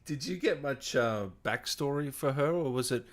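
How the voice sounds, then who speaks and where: A man's voice: medium pitch, moderate pace. A man hosting a casual interview, informal and enthusiastic, chatting and reading out viewer questions. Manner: informal, mildly sweary, enthusiastic. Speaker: interview host.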